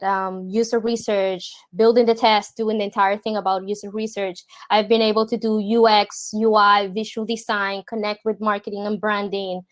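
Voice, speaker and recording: A woman from Puerto Rico, a native Spanish speaker with a Puerto Rican accent, hosting an informal online presentation in a chatty way. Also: medium pitch. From San Juan, Puerto Rico. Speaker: host, product designer reviewing a portfolio. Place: remote video call.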